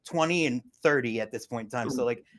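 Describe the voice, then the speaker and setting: A woman's voice: rumbling low, a little fast. A woman in her forties hosting a casual interview, rambling and chatty with lots of fillers. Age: 40s. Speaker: host.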